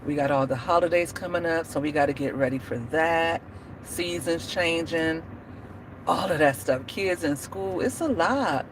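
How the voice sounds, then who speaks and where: A woman's voice: low-pitched, moderate pace, a little hiss or hum, poor audio. A woman in her forties chatting casually on a livestream, laughing often and talking to viewers by name. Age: 40s. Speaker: livestream host. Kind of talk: casual live chat.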